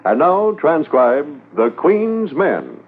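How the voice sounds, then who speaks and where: A man's voice: a little high, huge pitch swings, slow pace. A man announcing a 1950s radio drama in a declamatory, dramatic scripted style. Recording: narrow-band 1950s radio transcription.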